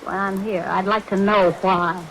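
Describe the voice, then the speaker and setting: A woman's voice: mid-range voice, little pitch movement, normal speed, close to an everyday voice. An actress playing a cold, scheming, commanding woman who speaks in clipped, insistent questions, in vintage radio thriller style. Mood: cold, accusing. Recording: vintage radio recording, hiss, rain behind.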